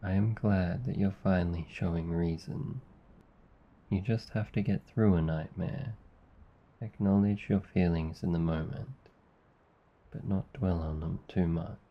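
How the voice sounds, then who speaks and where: Casting: Australian male actor for a tender comforting demon, deep and unhurried, husky, ASMR roleplay, slightly muffled recording. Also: fairly even intonation. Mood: calm, reassuring. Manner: soft-spoken, slow, reassuring, rambling explanations. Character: gentle, caring male sleep paralysis demon. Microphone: close ASMR microphone.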